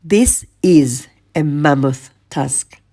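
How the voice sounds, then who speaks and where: Slow, husky-toned woman podcast host. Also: low-pitched, warm tone. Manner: slow, measured, lingering on lists. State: voice strained, losing her voice. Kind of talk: reflective spoken podcast monologue.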